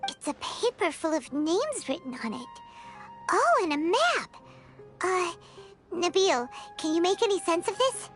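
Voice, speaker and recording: A woman voicing an excitable little fairy companion in a video game, asking eager questions. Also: very high-pitched, measured pace, soft background music, full character voice. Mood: curious. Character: small excitable floating fairy companion, female.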